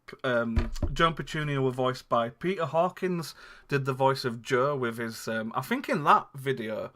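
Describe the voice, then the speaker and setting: A man's voice: mid-range voice, expressive, normal speed, bright, forceful tone. A man, co-hosting a casual chat, rambling and laughing often. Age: 30s. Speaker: co-host.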